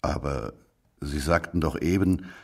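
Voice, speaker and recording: An actor playing a brisk, persistent police detective with short, probing questions in a German radio drama. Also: male voice, rumbling low, gravelly, breathy edge, recorded from a distance, exaggerated voice. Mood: probing, sceptical.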